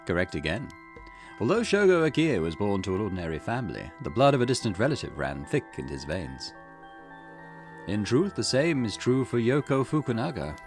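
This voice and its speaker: A man reading aloud the lines of a cryptic, formal male storyteller who guides the player, with a measured, theatrical delivery. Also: sits low. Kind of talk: reading game dialogue aloud. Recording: game music playing behind.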